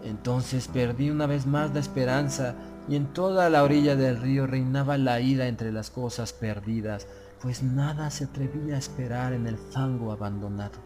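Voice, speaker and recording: A man reads a gothic horror story in a voice of medium pitch. His delivery is solemn and literary, measured, with phrase endings that drop away. Music plays clearly behind the narration. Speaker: audiobook narrator. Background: background music.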